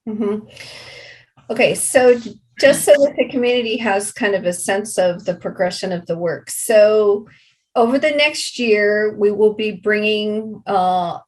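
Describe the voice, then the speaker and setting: A woman explaining things in an interview as a project representative, in a deliberate and measured manner. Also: medium pitch, poor audio.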